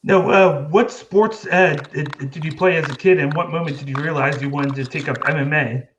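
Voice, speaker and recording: Halting host on a noisy line. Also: male voice, on the high side, lo-fi sound. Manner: hesitant, many uh fillers and restarts. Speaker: podcast host. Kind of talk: casual interview hosting.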